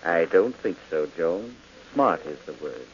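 A man's voice: sits low, animated, measured pace, slight background noise. An actor playing a smug, self-satisfied wealthy man in a 1940s radio drama, from a dull old broadcast.

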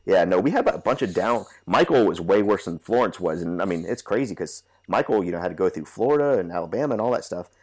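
Deep-voiced nasal man with a cold. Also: animated, quick pace, hardly any pauses. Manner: rambling, many fillers and restarts. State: stuffy from a cold. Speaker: podcast host. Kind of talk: casual chat.

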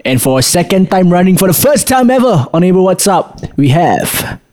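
A man's voice: high voice, big pitch swings, moderate pace, a little mellow. A young man hosting a casual podcast, teasing and laughing with his friends. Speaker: podcast host. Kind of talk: casual chat.

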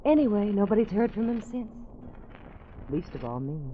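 A woman's voice: mid-range voice, big pitch swings, normal speed, close to an everyday voice. An American woman playing a breezy, candid young mine secretary in a 1940s radio drama, speaking matter-of-factly. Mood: candid, rueful. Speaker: supporting radio actress. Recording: muffled, noisy 1940s radio recording.

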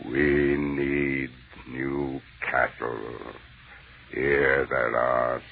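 A creaking, bottomless-deep alien monster. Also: male voice, flat delivery, full character voice. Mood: cold, superior. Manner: slow, deliberate, contemptuous pronouncements. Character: ancient hungry alien monster, cold, superior, menacing. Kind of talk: 1930s radio horror acting. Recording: muffled, noisy 1930s radio recording.

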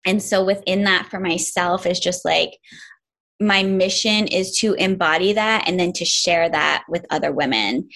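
A woman's voice: on the low side, a little slow, a bit bright. A woman in her forties from upstate New York, a yoga and self-love teacher, talking reflectively as an interview guest with many "like" fillers. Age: 40s. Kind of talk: casual interview chat.

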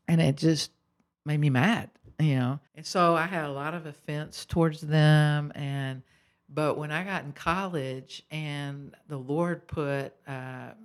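A woman's voice: deep voice, steady tone, takes it slowly. A woman, a guest author, sharing her faith story in an earnest, filler-laden conversational way. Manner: earnest, testimonial, many fillers.

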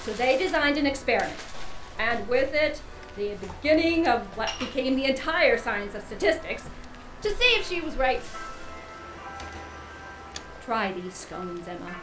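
A woman's voice: a little high, lively intonation, unhurried, long pauses, over music, poor audio. A woman playing an arch, pointed middle-aged wife who coolly needles her friend in an audio drama. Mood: chatty, storytelling.

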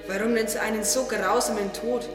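A man plays a naive, wondering tree in a radio play. The voice is strikingly high, earnest and hesitant. It comes from some distance in a reverberant hall, music playing behind. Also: steady tone, brisk, natural voice. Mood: troubled wondering. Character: naive, wondering, gentle young-hearted tree. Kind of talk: German religious audio drama acting. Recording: echoey hall, distant mic, music underneath.

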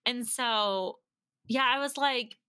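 A woman's voice: a little high, slow, pushed and bright, clear, resonant tone. A woman speaking as a podcast guest, sharing casual anecdotes and informally acting out bits of quoted dialogue.

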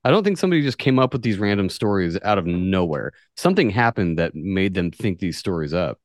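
A man's voice: low voice, very animated, brisk, no echo, studio quality. A man from the United States hosting a podcast in a casual, blunt, joking and sweary way. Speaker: podcast host.

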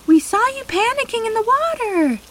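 A woman voicing a tiny caring fairy in a children's storybook, squeaky, chirpy and comforting. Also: big pitch swings, normal speed, a bit bright, a little hiss or hum, full character voice, rough recording. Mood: concerned. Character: tiny, bright, caring fairy sister Sparkle.

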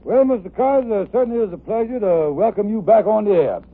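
A man playing a folksy, genial radio-show host in broad comic dialect, with a raspy voice, in 1950s radio comedy. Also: sits high, quick pace. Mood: genial, curious. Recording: tinny old radio recording.

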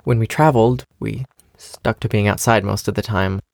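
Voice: mid-range voice, steady tone, moderate pace, gravelly, darkish tone, no echo, clean studio sound, lightly acted. An actor playing an anxious, eager young male survivor in an audio drama. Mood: uneasy.

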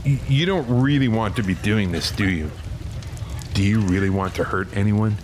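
A man's voice: on the low side, moderate pace, noisy background, close to an everyday voice. A man playing a tense police officer in an audio drama, coaxing and urgent as he negotiates. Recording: music and rain under the voice.

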